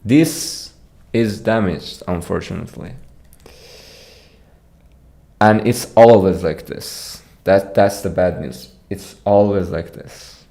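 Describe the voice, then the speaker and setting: A man's voice: low voice, slow pace, creaky, long pauses, dark tone. A young man with an Iranian accent, chatting casually on a livestream, often repeating words as he answers viewers. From Iran. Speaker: streamer.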